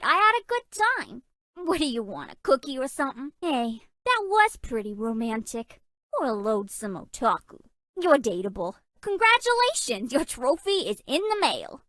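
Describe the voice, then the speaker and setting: Sassy young woman, dating game sweetheart, swooping high voice, slangy tough teasing, dry studio sound. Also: sing-song, normal speed, fairly bright tone, no echo. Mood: sassy, grudging. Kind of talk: video game character voice lines.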